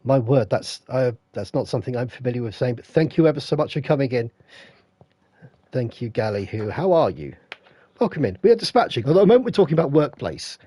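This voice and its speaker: An English man with a croaky voice, feeling unwell, rambling through stories while greeting his live stream chat on a headset. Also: medium pitch, sing-song, brisk, warm tone, no room sound. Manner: rambling, anecdotal, stops to greet viewers. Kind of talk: casual storytelling to live chat.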